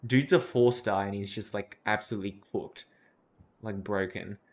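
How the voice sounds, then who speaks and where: A man's voice: fairly low pitch, fairly even intonation, moderate pace. A young man chatting casually and slangily as he reacts to a game on a livestream. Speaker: game streamer. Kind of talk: casual gaming reaction chat.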